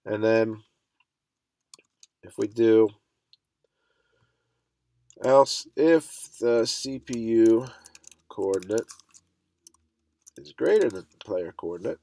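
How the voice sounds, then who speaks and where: A man with a stuffy cold teaching a coding lesson in a relaxed way, thinking aloud with frequent ums. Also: lowish voice, takes it slowly, pauses often. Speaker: coding instructor. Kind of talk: screen-recorded coding tutorial.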